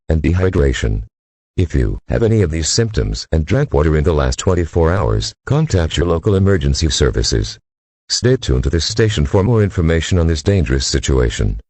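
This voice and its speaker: Very deep male-voiced automated emergency alert announcer. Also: a little mellow, slightly rough, no room sound, full character voice. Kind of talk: scripted emergency alert broadcast.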